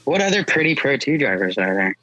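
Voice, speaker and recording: A man's voice: medium pitch, very animated, brisk, lo-fi sound, very noisy. A man speaking as a podcast guest in casual chat, hesitant, with wry, understated humor. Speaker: podcast guest, drift driver.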